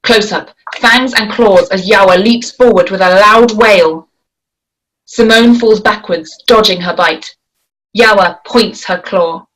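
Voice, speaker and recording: A woman narrates stage directions at a screenplay read. Her voice sits at an average pitch. The delivery is scripted narration. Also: slow, a bit bright, rough recording.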